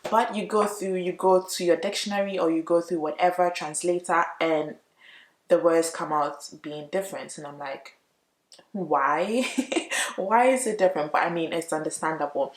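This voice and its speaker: A woman who speaks English natively, chatting casually to viewers as she explains language points, expressive and emphatic, repeating words for emphasis. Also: on the low side, normal speed. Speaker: YouTube language vlogger. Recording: roomy, echoey room.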